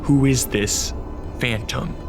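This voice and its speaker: A man playing a cautious, courteous vampire count in a radio drama, restrained and deliberate in manner. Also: mid-range voice, steady tone, lightly acted. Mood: composed. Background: dramatic music.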